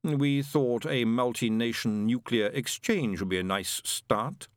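A man's voice: medium pitch, unhurried, no room sound, clean studio sound, lightly acted. Man playing a pompous, imperious heavenly spokesman. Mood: cool, testy. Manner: stately, formal, coolly insistent.

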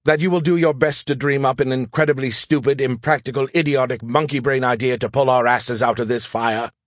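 A man voicing an arrogant, excitable alien artificial intelligence in an audiobook, with a sarcastic, condescending, theatrical manner. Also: mid-range voice, brisk, dry recording, studio quality, natural voice. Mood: pleading, grudging. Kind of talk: audiobook character voice.

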